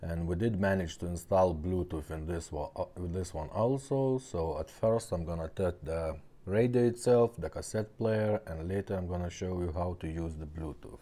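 A man's voice: deep voice, normal speed, hardly any pauses, warm tone. A man casually demonstrating a product, explaining step by step in simple, non-native English phrasing. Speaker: presenter of a car radio repair shop demo. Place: indoors at a tabletop.

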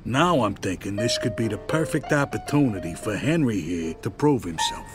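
An actor playing a middle-aged American mob underboss, friendly but calculating, with casual streetwise tough talk in a crime game. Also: male voice, fairly low pitch, expressive, normal speed, music in the background, close to an everyday voice. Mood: scheming. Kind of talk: cinematic crime game dialogue.

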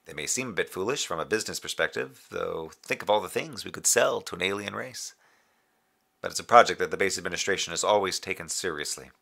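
Low, creaky, bright-voiced moonbase officer. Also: male voice, fast talker, full character voice. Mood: composed, earnest. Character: dutiful, anxious, wry moonbase communications officer, sentimental husband. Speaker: audio drama actor. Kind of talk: scripted audio drama radio log.

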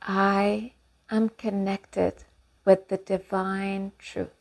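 A woman leading a guided meditation and affirmations in calm, unhurried instruction with long pauses. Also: mid-range voice, gravelly.

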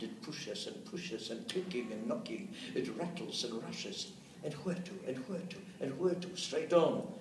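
A man's voice: mid-range voice, a bit bright. An elderly man in his eighties, a translator presenting and reciting verse expressively, with rhythmic emphasis. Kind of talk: expressive poetry recitation with spoken commentary. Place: indoors, meeting room. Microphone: camera or phone a short distance away. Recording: roomy, distant mic with faint noise.

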